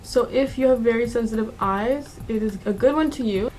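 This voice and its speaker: A woman's voice: a little high, normal speed, a little mellow, poor audio. A woman in her twenties narrating a makeup tutorial in a casual, informal, conversational way as a beauty vlogger. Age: 20s. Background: background music.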